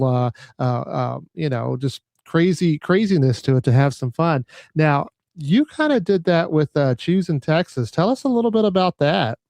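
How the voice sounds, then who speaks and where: A man's voice: a little high, a little mellow, dry recording. Stammering male podcast host, dry sound. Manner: stammers and repeats words, many fillers. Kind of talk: casual interview chat.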